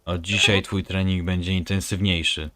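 A man playing a cold, domineering scientist mentor in an animated fan dub, speaking in clipped, contemptuous commands. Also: low-pitched, moderate pace. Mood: contemptuous, commanding. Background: music.

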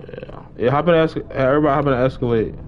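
A man's voice: medium pitch, very fast, lo-fi sound. American guy streaming, slangy and noisy. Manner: repeats phrases and uses heavy street slang. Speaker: live game streamer. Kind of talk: casual gaming stream chat in slang. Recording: noisy live stream with game sounds.

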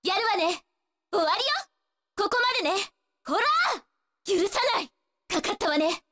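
A woman's voice: very high voice, a little slow, a bit bright, big-room sound. A woman voicing a spirited, cocky young female martial-arts fighter in a fighting game, brash, taunting and determined. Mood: fierce, confident. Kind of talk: Japanese fighting-game voice acting, short battle lines.

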